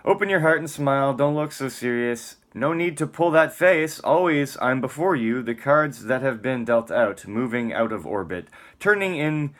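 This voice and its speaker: A man's voice: medium pitch, lively intonation, normal speed, hardly any pauses, fairly bright tone. A man giving a dramatic, theatrical spoken-word reading, moving between emphatic lines and muttered casual asides.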